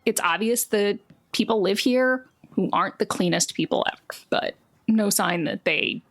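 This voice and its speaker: Woman, game master, medium-pitched, improvised scene narration, conversational, casual asides. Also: normal speed.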